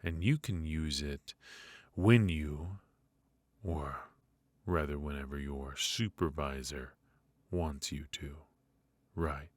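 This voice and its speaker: A man playing a ruthless, smugly menacing mafia boss in a noir ASMR roleplay, speaking deliberately and theatrically with mock affection. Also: rumbling low, dry recording, full character voice. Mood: coolly taunting. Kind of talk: ASMR roleplay, noir audio drama acting.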